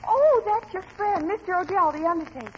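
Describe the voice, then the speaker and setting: Very high-voiced young daughter. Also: female voice, expressive, fairly quick, darkish tone. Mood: eager, concerned. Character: bright, concerned young daughter of the family. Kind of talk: 1940s radio comedy acting. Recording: muffled vintage radio recording with hiss.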